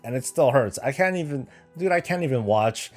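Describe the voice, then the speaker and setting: A man's voice: mid-range voice, expressive, brisk, soft background music. A man from Northern California hosting a gaming channel, casually answering viewer questions with sarcastic asides. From United States, Northern California. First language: Filipino heritage, raised in the US. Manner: joking, sarcastic asides, candid.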